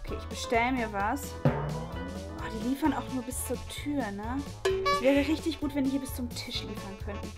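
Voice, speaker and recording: Woman acting a lazy whiny schoolgirl, high voice, worn out, wants peace, music behind. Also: moderate pace, natural voice. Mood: exhausted, lazy. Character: lazy, drained schoolgirl who wants to be left alone. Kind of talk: acted comedy sketch for online video. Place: living room, on a sofa.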